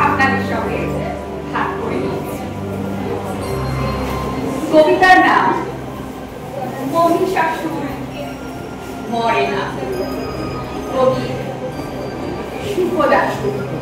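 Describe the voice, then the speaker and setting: A woman giving a dramatic poetry recitation in measured, declamatory phrases with pauses. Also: high-pitched. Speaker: recitation performer. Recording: very echoey, distant mic, music behind.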